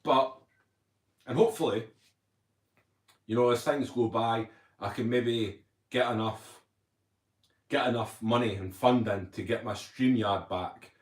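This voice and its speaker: A man hosting a casual livestream, rambling chattily and reading viewer comments aloud. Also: medium pitch. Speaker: livestream host. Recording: roomy echo.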